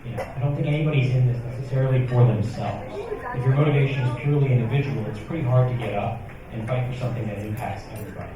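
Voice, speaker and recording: A man's voice: mid-range voice, rapid-fire, muffled recording, crowd noise. A young man speaking earnestly and with passion on a conference panel. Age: young adult. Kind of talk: panel discussion. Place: conference hall. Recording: echoey hall, distant mic, room noise.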